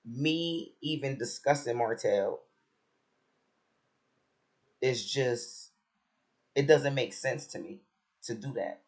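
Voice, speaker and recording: A man with a raspy voice who has just woken up, chatting casually about a TV episode with lots of fillers and restarts. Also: medium pitch. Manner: relaxed, rambling, many fillers and restarts. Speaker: reality TV commentator.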